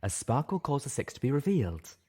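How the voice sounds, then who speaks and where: A man voicing a grave, polite and learned unicorn stallion in an audiobook, measured and formal. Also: mid-range voice, very animated, mellow, slightly rough, pitched above the performer's own voice. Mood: scholarly, polite.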